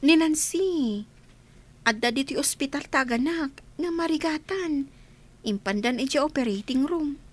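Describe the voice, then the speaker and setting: A woman acts an earnest young villager fretting through a radio drama. The voice is high and plaintive, with an Ilocano accent. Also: a little slow. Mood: anxious, grateful. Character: worried young village companion waiting at the hospital.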